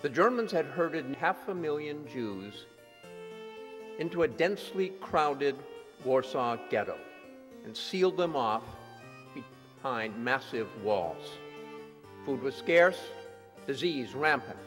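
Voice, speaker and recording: A man reading scripted dramatic narration in a solemn, measured manner. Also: medium pitch, expressive, fairly bright tone. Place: large sanctuary hall before an audience. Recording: echoey hall with music behind.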